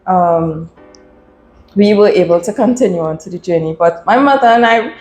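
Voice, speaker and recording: A Trinidadian woman in her thirties, a guest telling a story in a casual interview, animated and laughing often. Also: lowish voice, moderate pace, echoey room, soft background music. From Trinidad and Tobago.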